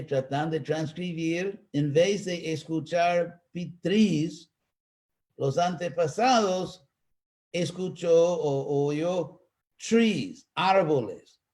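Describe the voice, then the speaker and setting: A man with an American accent, a native English speaker, teaching in a live online talk and answering questions, pausing to search for words. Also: on the high side, darkish tone. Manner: slow, searching for Spanish words with pauses. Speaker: spiritual teacher.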